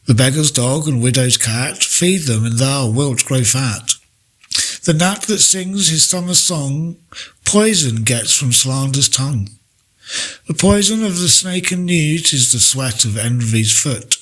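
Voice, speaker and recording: Man, poetry recitation, very slow pace, mid-pitched voice, deliberate phrasing, measured pauses. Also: a bit bright. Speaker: poetry reader. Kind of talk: poetry recitation, read aloud.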